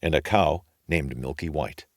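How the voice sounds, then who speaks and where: A man narrating a fairy tale for children in an expressive, measured storytelling manner. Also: extremely low pitch.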